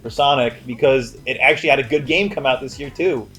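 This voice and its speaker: A man's voice: medium pitch, fairly quick, fairly bright tone, soft background music. A man co-hosting a casual movie review, emphatic and opinionated as he banters. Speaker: movie review show co-host.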